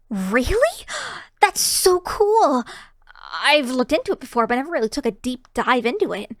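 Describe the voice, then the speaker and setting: A woman's voice: sits high, big pitch swings, measured pace, dry recording, clean studio sound, full character voice. A woman voicing a shy, cheery young kitsune barista in an ASMR roleplay, chatting to the listener in a bashful, hesitant, giggly way. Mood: curious, eager.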